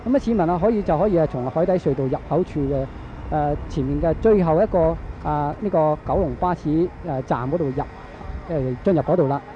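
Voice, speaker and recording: A man with a Hong Kong accent giving live radio news commentary in a halting way, with frequent drawn-out fillers. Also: a little high. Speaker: radio reporter. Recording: dull, noisy archival radio broadcast.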